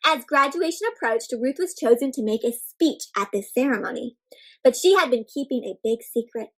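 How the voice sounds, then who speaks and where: A woman reading a picture book aloud to children, interactive and expressive, stressing words and turning to young listeners with simple questions and explanations. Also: sits high, normal speed, low-quality recording. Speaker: children's read-aloud host.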